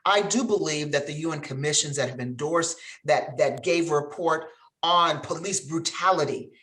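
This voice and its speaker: A woman's voice: low-pitched, normal speed, a bit bright. A woman from Switzerland speaking as a panelist in an earnest, emphatic, conversational way. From grew up in Geneva, Switzerland.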